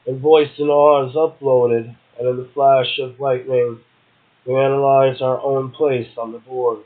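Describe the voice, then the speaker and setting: A man's voice: medium pitch, level tone, unhurried. A man, a guest poet, reading verse and chatting casually. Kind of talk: poetry reading and casual chat. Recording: tinny phone line.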